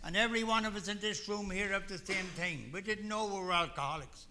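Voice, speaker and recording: A man's voice: high voice, fairly quick, sharp tone, slight background noise. An older man from Ireland with an Irish accent, telling his own recovery story to a meeting in a folksy, rambling way. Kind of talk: personal testimony talk.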